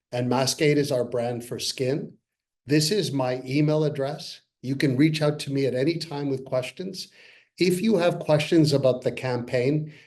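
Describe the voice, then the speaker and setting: A man in his sixties from Canada, a company co-founder earnestly pitching to investors in a webinar over a video call. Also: darkish tone. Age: 60s. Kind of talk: webinar presentation. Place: parents' home, by video call.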